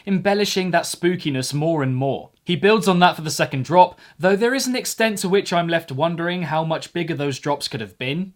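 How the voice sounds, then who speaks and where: Higher-voiced man giving a music review. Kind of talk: spoken music review, semi-scripted commentary.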